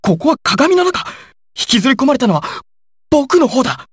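Casting: intense young male mobster, video game. Husky, highly animated delivery, theatrical and volatile, recorded dry. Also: moderate pace, dry recording, heavily acted. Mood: tense, realizing. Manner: dramatic, swinging from controlled analysis to urgent shouting. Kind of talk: dramatic Japanese game dialogue and battle clips.

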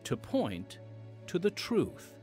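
American guy hosting a faith podcast, dramatic scripted read, very expressive, soft music under him. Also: a little high. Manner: measured, emphatic, dramatic phrasing. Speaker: podcast host and preacher. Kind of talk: scripted narration with devotional appeal.

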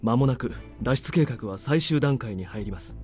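An actor playing a polite, guarded military major in a visual novel, formal and composed. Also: male voice, medium pitch, animated, quick pace, darkish tone, lightly acted. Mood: composed, courteous. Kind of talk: Japanese visual novel voice acting. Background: game music.

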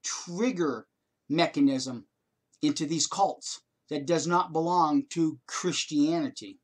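Older man, earnest, preachy, slow-paced, home webcam recording. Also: on the high side. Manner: earnest, preachy, addresses listeners directly. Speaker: co-host. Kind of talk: casual video chat about faith. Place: home room, indoors. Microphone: webcam video call framing.

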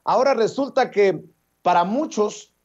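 A man's voice: high-pitched, unhurried, a little mellow, breathy edge. A middle-aged man hosting a political talk show over video call, speaking sarcastically with frequent fillers. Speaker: host. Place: office with window blinds, indoors. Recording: dull lo-fi webcam audio.

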